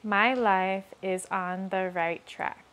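A woman slowly and calmly leading guided self-affirmations, repeating each line twice. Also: mid-range voice, smooth. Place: outdoors on a rainy day.

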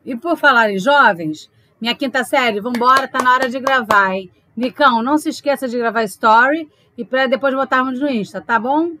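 Casting: actress as brisk, chivvying female manager, sharp high voice, audio drama. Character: brisk female boss, chivvying her team.

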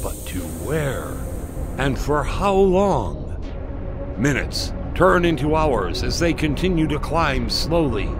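A man announcing an adventure serial in a theatrical, suspenseful narrating style. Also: a little high. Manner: dramatic, suspenseful.

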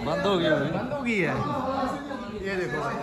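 A man's voice: sits high, animated, unhurried. A young man from India chatting casually for a vlog, repeating his phrases for emphasis. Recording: noisy crowd of voices around.

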